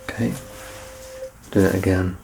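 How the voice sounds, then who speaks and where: A man playing a doctor in an ASMR medical exam roleplay, soft-spoken and reassuring, with gentle teasing and close whispered passages. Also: low-pitched, steady tone, unhurried, vocal fry, noisy background. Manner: slips into unvoiced whispering up close.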